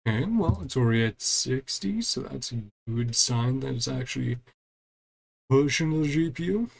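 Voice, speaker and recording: A man's voice: mid-range voice, expressive, moderate pace, gravelly. A man giving casual live commentary as he streams a game, muttering to himself and trailing off.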